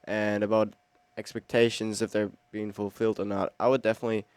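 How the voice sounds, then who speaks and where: A man's voice: lowish voice, little pitch movement, brisk, darkish tone, smooth, no room sound. A young man, talking casually in an interview. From Germany. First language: German. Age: teens. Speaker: exchange student being interviewed.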